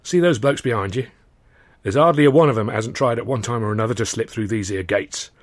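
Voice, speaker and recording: A man voicing a gruff, long-winded male dock gatekeeper with a condescending, mock-formal manner. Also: lowish voice, brisk, dry recording, clean studio sound, lightly acted. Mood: knowing.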